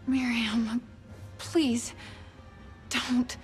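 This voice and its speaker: A woman's voice: a little high, level tone, very slow, soft background music. An actress playing a flustered, resentful woman in a cinematic video game. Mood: alarmed, pleading.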